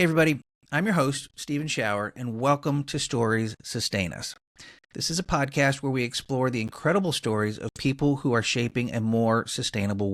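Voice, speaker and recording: A man's voice: medium pitch, dry recording, clean studio sound. A man speaking as a podcast host, introducing and interviewing guests.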